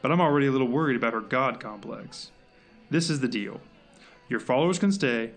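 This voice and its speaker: A man playing a gruff, by-the-book agent in an audio drama, curt, dry and exasperated. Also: medium pitch, expressive, brisk, faint music behind, natural voice. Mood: stern, official. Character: gruff, weary, by-the-book male agent in charge.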